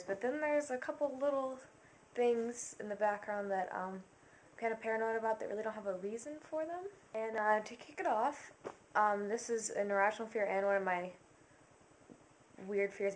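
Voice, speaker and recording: A young woman talking casually to camera, rambling through a list with lots of fillers and dropping into quiet, self-conscious asides. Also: medium pitch. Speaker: vlogger. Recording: faint background noise.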